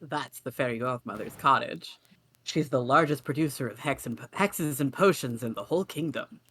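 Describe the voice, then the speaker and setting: Man playing a bright, suave swashbuckling cat. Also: medium pitch, normal speed, breathy edge, poor audio. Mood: amused, confiding. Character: small suave swashbuckling male cat, amused. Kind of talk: comic table read of an animated film script.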